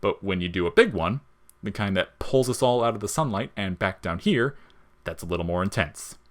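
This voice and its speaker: A man's voice: sits low, lively intonation, normal speed. A man voicing a wry, world-weary skeleton monster who talks in sardonic slang in an audio story, jolly on top and bitter underneath. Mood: casual, explaining. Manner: slangy, sardonic, explaining things casually.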